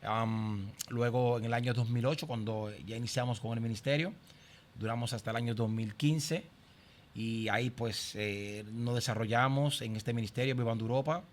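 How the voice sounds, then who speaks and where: A middle-aged Dominican man speaks as a guest pastor in an interview. His pitch sits in an ordinary male range, and he tells his own story in a conversational way. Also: slight background noise. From Santo Domingo, Dominican Republic. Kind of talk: conversational personal testimony.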